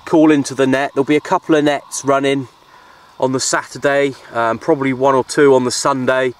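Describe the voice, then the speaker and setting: A man with a British accent chatting casually and informally to viewers in an unhurried, easygoing way, like an outdoor hobby vlogger. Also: level tone. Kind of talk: casual outdoor vlog chat. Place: outdoors at a windy makeshift shelter. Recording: noisy outdoor recording with ambient rumble.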